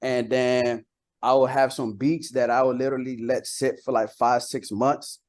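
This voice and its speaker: A man's voice: mid-range voice, flat delivery, moderate pace, darkish tone. A man talking casually as an interview guest, a rapper using slang and repeating phrases as he tells anecdotes.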